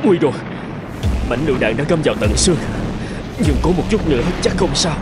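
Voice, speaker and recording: An actor playing an earnest, brave young soldier in a cartoon, with an urgent manner. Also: male voice, fairly high pitch, animated, brisk, close to an everyday voice. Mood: strained. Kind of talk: dubbed cartoon dialogue. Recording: loud music and battle sounds behind.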